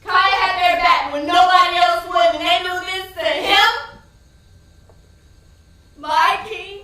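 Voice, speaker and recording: A woman's voice: extremely high pitch, fairly even intonation, sharp tone, full character voice. An actress playing a grieving, fiercely loyal woman in an impassioned, anguished theatrical monologue. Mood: anguished, outraged. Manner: impassioned, anguished, drawn-out emphatic cries. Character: grieving, fiercely loyal woman mourning her slain man. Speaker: stage actress. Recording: distant, echoey stage recording with noise.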